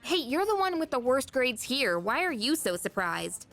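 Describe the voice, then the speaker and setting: A woman voicing a friendly, expressive high-school girl in a video game. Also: moderate pace, soft background music, heavily acted. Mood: frank.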